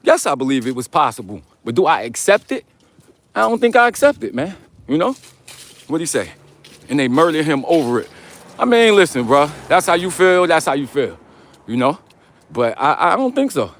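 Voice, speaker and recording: A man with a deep voice hosting a casual, rambling vlog, speaking in slangy, conversational style and addressing listeners as brother and man. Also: sing-song, normal speed, fairly bright tone. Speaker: host of a live vlog. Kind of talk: casual rambling talk, answering viewer comments.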